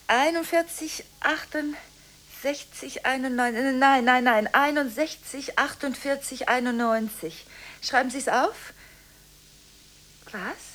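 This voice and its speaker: An actress in a radio drama playing a frantic, easily unsettled woman on telephone calls, speaking in halting, repetitive fragments. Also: high-pitched, fairly bright tone. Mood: agitated, insistent. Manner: breathless, repeats words, broken halting phrases, swings between whispers and outbursts. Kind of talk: radio drama acting, one-sided telephone monologue. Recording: hissy studio radio drama recording.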